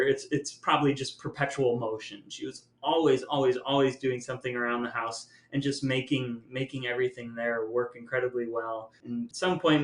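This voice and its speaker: A man's voice: mid-range voice, fairly bright tone. A middle-aged American man from small-town Ohio, reminiscing as a podcast host in an effusive, descriptive storytelling way. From small-town Ohio, United States. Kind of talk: reminiscing conversation. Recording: echoey room sound.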